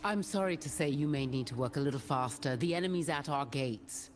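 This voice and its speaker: A woman's voice: extremely low pitch, brisk, a little hiss or hum. A woman voicing a grave, commanding heroic warrior in a video game. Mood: grave, determined.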